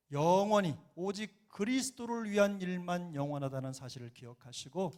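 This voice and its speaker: A man's voice: a little high, darkish tone. A middle-aged man with a slight Jeolla accent, preaching as senior pastor in a church, earnest and building to emphatic exhortation. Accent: Jeolla dialect. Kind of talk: sermon. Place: church sanctuary.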